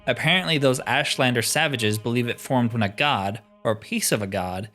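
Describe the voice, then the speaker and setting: A man's voice: mid-range voice, moderate pace, pushed and bright, faint music behind, lightly acted. Man reading as a self-assured, dismissive fantasy scholar. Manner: didactic, dismissive.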